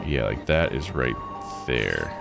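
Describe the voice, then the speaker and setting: A man's voice: a bass voice, sing-song, slow pace, creaky. A man doing casual let's play commentary, thinking aloud and reading game text out. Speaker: video game streamer. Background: game music.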